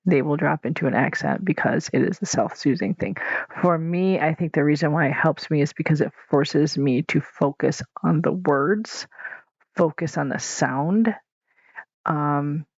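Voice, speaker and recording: Gravelly rambling podcast host. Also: mid-range voice, darkish tone, no room sound. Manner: rambling and candid, with frequent fillers and restarts; chuckles often while talking. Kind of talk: casual solo podcast monologue. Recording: dull lo-fi home podcast recording.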